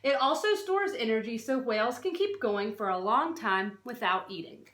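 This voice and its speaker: High, animated woman reading aloud, echoey room. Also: moderate pace. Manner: expressive, questioning, stresses and draws out key words. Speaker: teacher reading a non-fiction book aloud to children. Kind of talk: children's read-aloud with explanatory asides. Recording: roomy, echoey sound.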